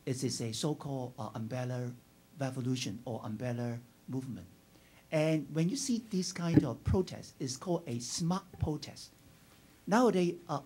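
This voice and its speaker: Husky man. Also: medium pitch, darkish tone. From Hong Kong. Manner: halting, frequent uh, emphatic bursts. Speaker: audience member asking a question.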